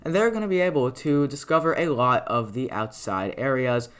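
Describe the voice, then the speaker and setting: A man with a raspy, worn voice narrating a map animation in casual, rambling commentary full of fillers and restarts. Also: mid-range voice, very animated, normal speed, a bit bright. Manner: rambling, filler-heavy, lots of "like" and "uh". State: raspy voice from talking a lot. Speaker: mapping video creator.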